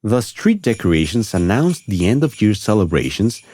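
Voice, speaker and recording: A man narrating a children's story from a script in an expressive storytelling manner. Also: lowish voice, moderate pace.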